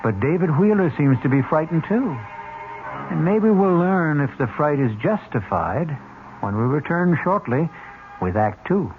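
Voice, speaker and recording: A man's voice: fairly high pitch, animated. Vintage radio host, male, dramatic scripted narration, muffled sound with music underneath. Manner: deliberate, suspenseful phrasing.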